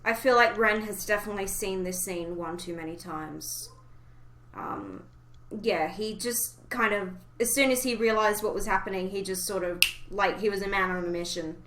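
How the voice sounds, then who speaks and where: A woman's voice: mid-range voice, moderate pace, a bit bright, slight background noise. Woman podcast host in an echoey room. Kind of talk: casual fan discussion of an animated series.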